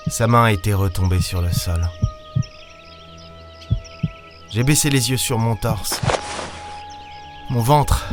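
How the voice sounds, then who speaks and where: A man's voice: fairly low pitch, lightly acted. A man playing a weary, lonely space captain, narrating an audio drama in brooding, hesitant short phrases. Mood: dazed. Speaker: narrator and lead character of an audio serial. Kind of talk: dramatic first-person audio fiction narration.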